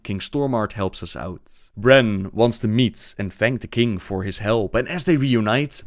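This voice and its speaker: A man's voice: on the low side, no echo, studio quality. A man delivering scripted narration about video game lore as the host of a video.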